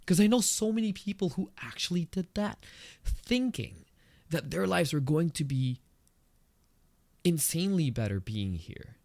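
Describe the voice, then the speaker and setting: A man with a Filipino accent hosting his own podcast, talking through a personal topic in an earnest, conversational way and jumping up in pitch for emphasis. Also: very animated, measured pace, gravelly, a little mellow, dry recording. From Philippines. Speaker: podcast host. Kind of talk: solo reflective podcast talk.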